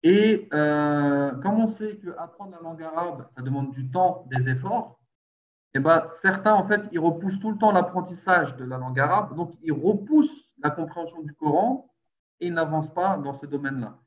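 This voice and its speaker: A man's voice: fairly high pitch, animated, moderate pace, highs cut off. A man hosting a casual conversation, explaining things plainly and then putting questions to his guest. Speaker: host. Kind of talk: casual chat.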